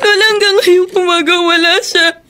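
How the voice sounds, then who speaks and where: Weepy little kid, super high voice, filipino accent, radio drama style. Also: female voice, fairly even intonation, moderate pace, sharp tone. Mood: tearful, worried. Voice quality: plaintive, tearful. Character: tearful young child reporting missing mother to grandmother.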